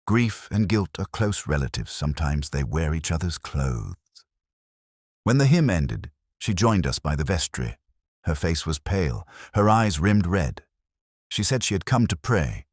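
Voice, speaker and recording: An extremely deep male narrator tells a literary detective story in the first person. His delivery is measured and reflective, yet the pitch moves expressively. The recording is dry, with no room sound. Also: no echo.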